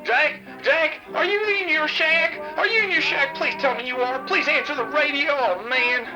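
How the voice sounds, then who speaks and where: Man as panicky wasteland scavenger, high voice, urgent, pleading, radio transmission, music behind, audio drama. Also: normal speed, rough recording. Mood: desperate. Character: frantic, breathless scavenger radioing in a warning.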